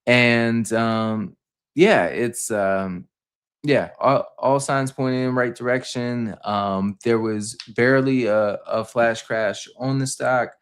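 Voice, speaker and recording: A man giving an unscripted financial debrief on a livestream, explaining things in a halting, repetitive way. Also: mid-range voice, clear, resonant tone. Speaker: stock commentator on a livestream.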